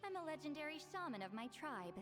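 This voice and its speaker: Proud, teasing shaman, highish voice. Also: big pitch swings, fast, over music. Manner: confident, playful, slightly haughty. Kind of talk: video game voice acting.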